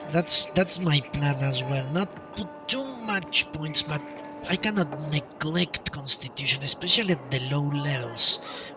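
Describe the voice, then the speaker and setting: Guy streaming a game, talks slow n halting, bit far from mic, game music on. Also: medium pitch. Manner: halting, thinking aloud, repeats words. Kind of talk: casual live playthrough commentary. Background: video game music.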